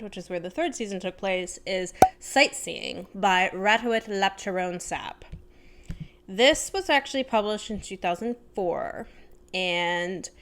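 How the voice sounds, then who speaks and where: A woman with a smooth, crisp voice at an average pitch presents book recommendations. She speaks in an informal, chatty vlogger style, thinking aloud in a slightly tentative way as she goes. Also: fairly bright tone.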